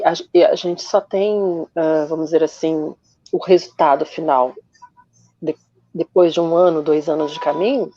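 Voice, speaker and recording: A woman from Rio de Janeiro with a Carioca accent, a journalist guest explaining patiently with many pauses in a casual podcast chat. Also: fairly low pitch, mellow, dull audio. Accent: Carioca Brazilian Portuguese. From Rio de Janeiro, Brazil.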